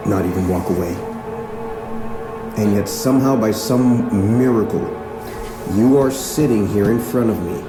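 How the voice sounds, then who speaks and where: A man playing a patient but firm small-town police chief who questions insistently and with measured pauses, in a naturalistic fiction podcast. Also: fairly low pitch. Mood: earnest, patient. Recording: roomy echo, music underneath.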